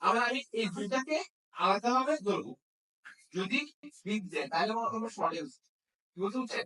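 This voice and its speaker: High-pitched male instructor, echoey and distant. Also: expressive, a little fast, sharp tone. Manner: checks understanding with frequent questions. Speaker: phone repair instructor. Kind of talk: hands-on classroom demonstration. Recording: echoey room, distant microphone.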